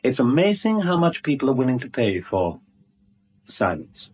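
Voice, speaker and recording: Smug, animated gentleman blackmailer. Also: medium pitch, normal speed, slightly rough, rough recording. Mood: smug, leisurely. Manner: suave, wordy, mock-polite, teasing. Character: smug, genial, middle-aged male blackmailer, mockingly courteous. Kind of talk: 1970s radio drama acting.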